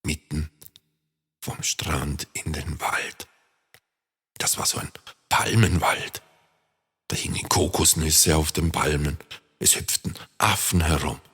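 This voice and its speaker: Deep, gravelly man telling a bedtime story. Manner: lively, addresses young listeners. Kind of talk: children's storybook narration. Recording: long reverb.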